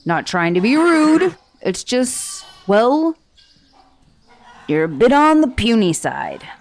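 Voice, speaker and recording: A woman's voice: on the high side, big pitch swings, slow, exaggerated voice. A woman voicing a boastful, easygoing female titan warrior head who teases a stranger in an ASMR roleplay. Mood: teasing.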